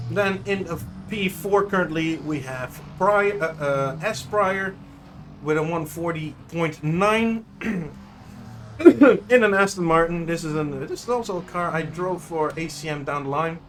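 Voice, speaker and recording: A man with a Dutch accent giving chatty live commentary on a sim racing qualifying session, often correcting himself and unsure how to say drivers' names. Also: a little high. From Netherlands. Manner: chatty, self-correcting, unsure of name pronunciations. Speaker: race commentator.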